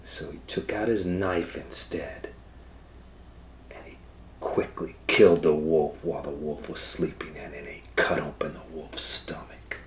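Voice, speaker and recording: A man reading a children's story aloud as host, dramatically, moving between hushed and loud. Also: rumbling low, steady tone, measured pace, whispered. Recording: faint background noise.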